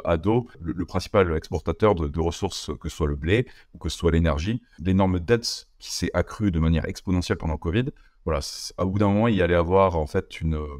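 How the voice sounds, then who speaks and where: Low-voiced young Frenchman, quick and hesitant. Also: a little mellow, no room sound, clean studio sound. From France. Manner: hesitant, many fillers and restarts. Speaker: interview guest, entrepreneur. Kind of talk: casual interview talk.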